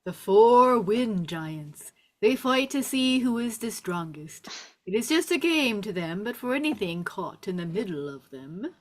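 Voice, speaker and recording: A woman's voice: a little high, very animated, normal speed. A woman playing a wary, wise flying dragon, a loyal companion, in a script table read.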